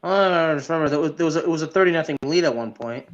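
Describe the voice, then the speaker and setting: A man's voice: fairly high pitch, rapid-fire. A man co-hosting a sports podcast, chatting casually and chiming in with short comments. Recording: dull, lo-fi audio.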